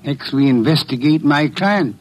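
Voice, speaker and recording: A man playing an imperious, lazy, middle-aged detective in an old-time radio drama, speaking formally and deliberately. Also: fairly high pitch, animated. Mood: grumbling, aloof. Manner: pompous, formal, deliberate diction.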